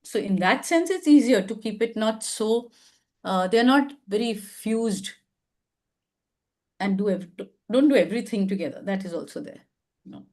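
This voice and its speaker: A woman's voice: medium pitch, expressive, normal speed, a little mellow. A woman hosting an advice chat on a video call, speaking reflectively and affirming others, often restarting her sentences. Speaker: advice show host.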